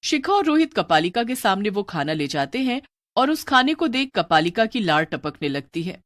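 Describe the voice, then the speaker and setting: A woman's voice: medium pitch, big pitch swings, moderate pace, fairly bright tone, no room sound. A woman narrator reading a scripted story in a dramatic storytelling style.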